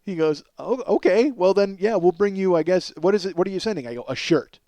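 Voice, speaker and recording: A man hosting a podcast, telling a casual, profane comic story in an animated, exaggerating way and acting out both sides of conversations. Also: sits high, brisk, no room sound. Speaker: podcast host.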